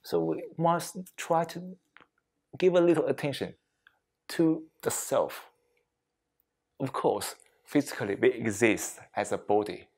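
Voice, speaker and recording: A man leading a reflective mindfulness talk as a meditation teacher, speaking deliberately in short phrases with frequent pauses and non-native English phrasing. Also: medium pitch, expressive, darkish tone. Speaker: meditation teacher giving a workplace session.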